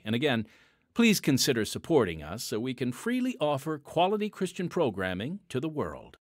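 Male host for ministry radio announcements, animated intonation, dry close studio sound. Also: medium pitch, no echo. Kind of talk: scripted radio ministry announcements, partly ad-libbed.

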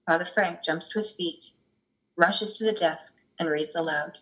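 A woman's voice: on the low side, a little slow, fairly bright tone. A middle-aged woman reading scripted stage directions as a play's narrator over a video call. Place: room at home. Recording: dull video-call audio.

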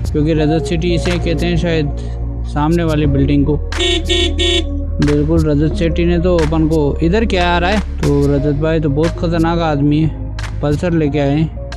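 A young man, hosting a casual vlog outdoors and chatting straight to his viewers with slang. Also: fairly high pitch. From Hadoti region, Rajasthan. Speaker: vlogger host. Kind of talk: casual vlog chat while riding. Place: outdoors on city streets. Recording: loud music playing under the voice. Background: hip hop music.

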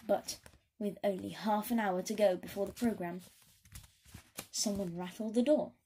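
A woman storyteller giving an expressive read-aloud of a children's story. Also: mid-range voice, moderate pace, a little hiss or hum.

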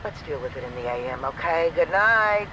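A man as a brisk, higher-voiced dispatcher. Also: lively intonation, highs cut off, noisy background, lightly acted. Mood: dismissive, soothing. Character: brisk, placating trucking dispatcher. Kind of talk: naturalistic audio drama acting.

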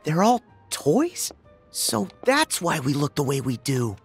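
A man's voice: a little high, sing-song, slow pace, slightly rough, faint music behind, exaggerated voice. An actor playing an upbeat teenage boy hero in a video game, earnest and youthful. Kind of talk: animated video game dialogue.

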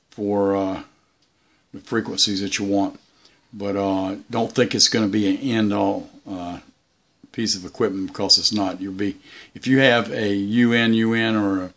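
Low-voiced man chatting casually about his hobby. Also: fairly bright tone. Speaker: shortwave radio hobbyist vlogger. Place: indoors, small room beside radio gear.

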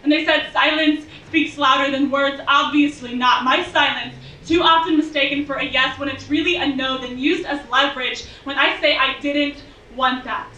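A young woman performing spoken-word poetry on a bar stage, with measured, intense, rhythmic delivery. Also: high-pitched, fairly even intonation, sharp tone, a little hiss or hum. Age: young adult. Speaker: slam poet. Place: bar stage venue, indoors. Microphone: stage mic on stand, filmed from afar. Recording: distant, dull, echoey room recording.

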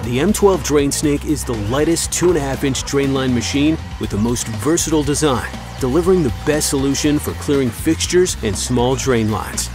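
A man's voice: mid-range voice, normal speed, few pauses. A man reading a scripted promotional voice-over as a commercial announcer. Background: clear music behind the voice.

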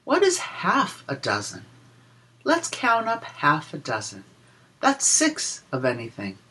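Slow, sing-song woman reading to preschoolers. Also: low-pitched, huge pitch swings, pushed and bright, breathy edge. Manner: sing-song, deliberate, explanatory. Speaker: children's story reader and teacher. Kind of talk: picture-book read-aloud with counting for preschoolers.

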